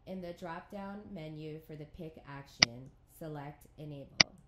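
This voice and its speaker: A woman reading scripted instructions for a software tutorial in a measured, neutral, step-by-step way. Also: low-pitched, very noisy.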